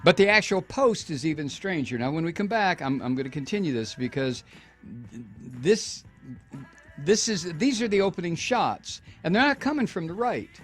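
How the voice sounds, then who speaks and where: A man's voice: high-pitched, animated, normal speed, soft background music. An older man hosting a political talk show, delivering indignant commentary with frequent restarts and stumbles. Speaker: radio talk show host.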